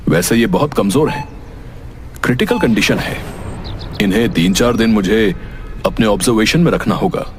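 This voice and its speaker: An actor playing a grave city doctor in a cartoon story, measured and instructing. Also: male voice, lowish voice, lively intonation, a little hiss or hum, natural voice. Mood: serious, advising. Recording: music behind the voice.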